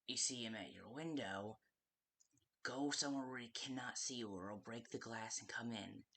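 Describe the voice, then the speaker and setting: A performer playing a hesitant, nervous guide in a game, giving survival instructions in a halting, often hushed voice. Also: medium pitch, husky. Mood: hesitant, cautioning. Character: hesitant, nervous guide talking a night-shift survivor through danger. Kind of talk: game tutorial monologue.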